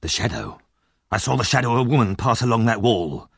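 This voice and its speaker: An edgy male villain acted by a narrator, impatient and jumpy, with a lively, moving pitch. He is a storybook conspirator, quick to defend himself. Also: vocal fry, breathy edge, dry recording, clean studio sound, heavily acted. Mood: frightened.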